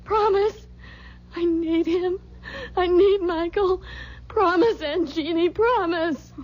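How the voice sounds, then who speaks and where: An actress playing a haunted, desperate young pregnant widow in a radio mystery drama, intense and pleading. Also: female voice, very high-pitched, slow, a little mellow. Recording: dull old radio recording, music behind.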